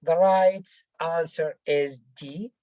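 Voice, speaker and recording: Casting: female language teacher, non-native English speaker, unhurried and halting, soft-edged mellow tone, walking learners through exercises. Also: low-pitched, no echo, muffled recording. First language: not English. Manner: halting, repetitive, explaining step by step. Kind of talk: bilingual lesson, reading out exercises and explaining answers.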